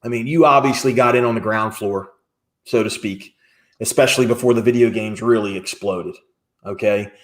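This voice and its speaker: A man's voice: on the low side, normal speed. A man hosting an interview show, chatting casually and reacting in a friendly, easygoing way. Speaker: host.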